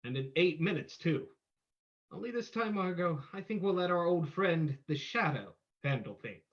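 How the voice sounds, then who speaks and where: A man acts out a commanding gentleman sleuth in old radio drama style. He lays out his plans with confidence. The audio is somewhat dull and lo-fi. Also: on the high side, animated, moderate pace, lightly acted. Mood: calm, plotting.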